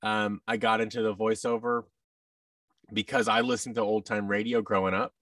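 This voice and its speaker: American guy in his 30s, lowish voice, rambly interview chat, bit off the mic. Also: flat delivery, moderate pace, a bit bright, dry recording, far from the mic. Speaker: guest, actor and audio drama producer. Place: large indoor office room. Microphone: boom-arm microphone, headset, video call.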